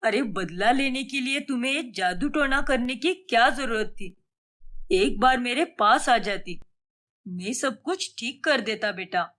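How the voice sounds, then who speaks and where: A woman voicing a worried middle-aged father who pleads and gently chides. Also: a little high, animated, unhurried. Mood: distressed.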